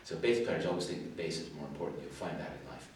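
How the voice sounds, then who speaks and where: A man's voice: rumbling low, expressive, fast, slight background noise. Chatty older man with a Suffolk-Canadian accent. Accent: Suffolk English with Canadian traces. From England, early life in Canada. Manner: chatty and digressive, self-deprecating asides. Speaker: competition adjudicator. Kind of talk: spoken feedback to performers. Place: school music hall. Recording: very echoey hall, distant mic.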